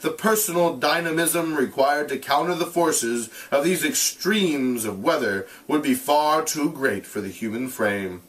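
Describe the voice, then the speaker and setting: A man's voice: on the high side, a little slow, hardly any pauses, a bit bright, recorded from a distance, rough recording. A man who sounds like a teacher, reading a novel aloud and explaining it in plain, casual terms. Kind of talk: read-aloud narration with casual explanatory asides.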